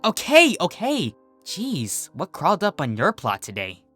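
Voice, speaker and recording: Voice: mid-range voice, wildly swooping pitch, unhurried, a bit bright, husky, faint music behind, voice pushed up, heavily acted. A performer voicing a mischievous young female cartoon unicorn, smug, playful and teasing.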